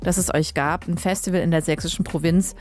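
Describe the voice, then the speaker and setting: A woman's voice: fairly low pitch, fairly even intonation, brisk, a little mellow. A young woman from Leipzig hosting a radio music show, chatting casually and correcting herself as she goes. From Leipzig, Germany. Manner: chatty and informal, with frequent fillers and self-corrections. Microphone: studio microphone.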